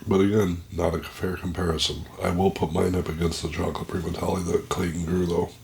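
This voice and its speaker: A man on a home vlog who talks haltingly, describing the heat of the pepper he has eaten, his nose running and blocked. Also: sits low, flat delivery, creaky, a little mellow. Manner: halting, describing the burn as it builds. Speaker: pepper reviewer. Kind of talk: casual vlog commentary. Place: indoors at home. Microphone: plugged-in microphone.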